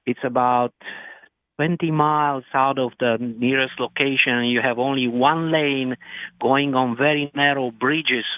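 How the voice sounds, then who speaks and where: A man from Romania, calling into a radio show and speaking haltingly with broken phrasing. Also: mid-range voice, fairly even intonation, dry recording. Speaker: caller. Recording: tinny phone line.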